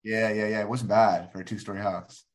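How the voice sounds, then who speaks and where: A man's voice: on the low side, little pitch movement, brisk. A man chatting casually as a podcast guest, agreeable and informal in his talk. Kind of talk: casual chat.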